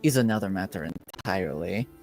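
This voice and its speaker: A man voicing a stern, formal university dean with a measured, authoritative manner in a tabletop game. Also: sits low, lively intonation, a little mellow, faint music behind, voice pushed down. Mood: skeptical, stern.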